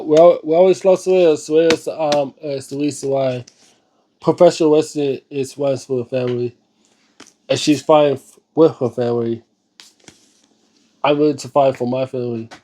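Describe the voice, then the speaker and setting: A man with a notably high voice talks off the cuff as host of a casual video. He is halting and often repeats himself as he searches for words. His delivery is earnest, emphatic and slangy. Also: fairly even intonation, dark tone, slight background noise. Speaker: host of a book reading and discussion series. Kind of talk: unscripted casual video commentary.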